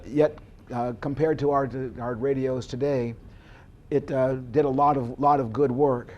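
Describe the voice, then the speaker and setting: Slightly high-voiced American history instructor. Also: male voice, a little mellow, slight background noise. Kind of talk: informal lecture to camera.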